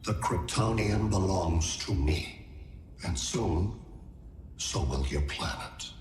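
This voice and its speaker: An actor playing a cold, calculating alien android conqueror in a video game cutscene, speaking slowly and with measured menace. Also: male voice, low-pitched, lively intonation. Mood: menacing. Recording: roomy echo with music behind.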